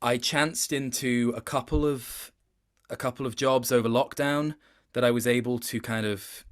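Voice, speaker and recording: A man with a mid-pitched voice talks casually as an interview guest. He is reflective and halting, often restarting his phrases as he finds the words. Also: steady tone, smooth. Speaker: interview guest, video game voice actor.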